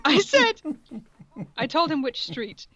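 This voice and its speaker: High-voiced woman reading aloud, dull lo-fi sound. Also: very animated, takes it slowly, a bit bright. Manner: mock-dramatic read-aloud, breaking off for asides. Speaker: podcast co-host. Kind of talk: reading fan fiction aloud with amused reactions.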